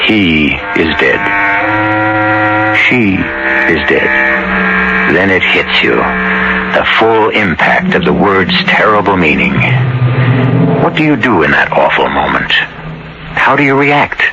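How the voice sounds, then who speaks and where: A man playing an ominous storyteller host in a 1970s radio mystery, with dramatic, deliberate pauses. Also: sits low, very animated, very noisy, full character voice. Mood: grave, reflective. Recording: muffled 1970s radio recording, loud music.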